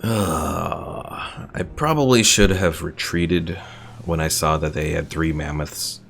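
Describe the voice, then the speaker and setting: A man's voice: very deep voice, takes it slowly, gravelly, a bit bright. A man streaming a strategy game, muttering, cursing at it and reading chat aloud. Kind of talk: casual gameplay commentary.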